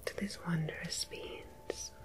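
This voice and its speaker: A woman playing a mysterious, gentle tarot medium in an ASMR roleplay, speaking in a soft breathy whisper with deliberate pauses. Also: lightly acted. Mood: mystical, solemn. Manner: slow, hushed, deliberate, with long pauses. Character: mysterious gentle female tarot medium between two worlds.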